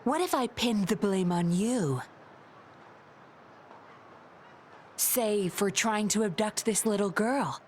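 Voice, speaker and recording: An actress playing a cool, sly undercover woman officer in a video game, composed, teasing and smooth-talking. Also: mid-range voice, measured pace, a little mellow, slight background noise, lightly acted. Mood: teasing, commanding.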